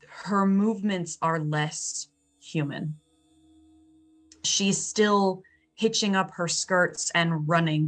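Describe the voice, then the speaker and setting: Woman narrating her character in an rpg, very slow dramatic phrases, low voice. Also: a bit bright. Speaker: player in a tabletop roleplay game. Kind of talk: improvised third-person story narration.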